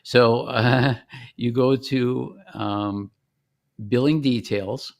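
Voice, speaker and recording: A man giving an informal product demonstration as a company founder, explaining in a halting, conversational way. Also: medium pitch, fairly even intonation, gravelly.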